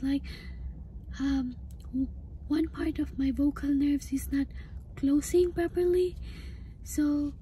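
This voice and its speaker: A woman in her thirties with a hoarse, breathy voice that often breaks into a whisper, upset and halting as she gives a casual personal update to camera. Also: sits high, warm tone, rough recording. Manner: halting, hesitant. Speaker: vlogger. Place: inside a parked car. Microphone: phone at arm's length. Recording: faint background noise in car.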